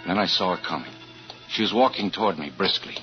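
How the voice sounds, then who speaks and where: An actor playing a troubled married insurance investigator, confessing haltingly in 1940s radio suspense style. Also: male voice, low-pitched, close to an everyday voice. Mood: anxious. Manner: halting, hesitant confessional narration. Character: troubled married insurance investigator recounting his story, anxious. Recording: muffled vintage radio recording with music.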